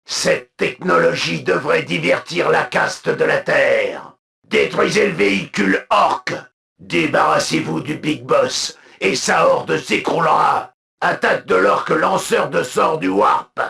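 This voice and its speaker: An actor voicing a proud, zealous alien military commander for a video game, with a gravelly voice and solemn, imperious, clipped delivery. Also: male voice, fairly high pitch, sing-song, measured pace, bright, forceful tone, heavily acted. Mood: defiant, aggressive. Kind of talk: video game unit voice lines, declamatory.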